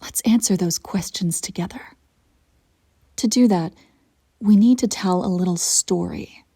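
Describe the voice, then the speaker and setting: A woman playing an imperious evil empress in an audio roleplay, coolly seductive and menacing, with theatrical, deliberate phrasing. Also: on the low side, mellow, husky, dry recording, clean studio sound. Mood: taunting, intimate. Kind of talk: scripted audio roleplay acting.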